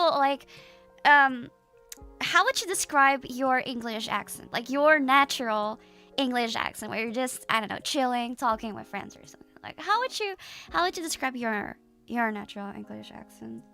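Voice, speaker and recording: Bright high-voiced woman streamer. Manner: chatty, laughs often, swears casually. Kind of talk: casual livestream chat with viewers.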